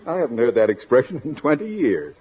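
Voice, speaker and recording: An actor playing a haughty, world-weary publicity counsel in a 1940s radio comedy, speaking in florid, formal phrases. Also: male voice, medium pitch, very animated, normal speed, crowd noise, natural voice. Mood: haughty, amused. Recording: dull old radio recording.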